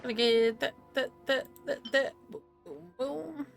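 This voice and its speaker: A woman's voice: high voice, a little slow, gravelly, faint music behind. A woman doing casual, slangy gameplay commentary as a streamer, often muttering repeated words to herself. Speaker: game streamer.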